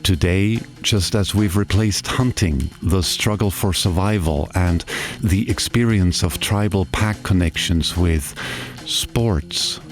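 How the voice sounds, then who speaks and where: A man from Austria, narrating a reflective travel voice-over and asking slow, musing questions. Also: deep voice. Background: guitar music.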